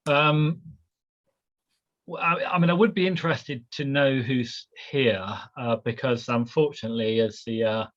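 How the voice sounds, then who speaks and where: A man leading an informal academic discussion on a video call, halting and full of fillers and restarts. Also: medium pitch, fairly even intonation, a bit bright, lo-fi sound. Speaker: discussion leader, head of department. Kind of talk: hesitant informal academic chat.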